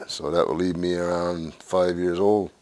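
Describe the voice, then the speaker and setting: A man in his sixties from Canada, recalling his life story in a documentary interview, unhurried and halting with frequent pauses. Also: low voice, flat delivery, darkish tone, no echo. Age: 60s.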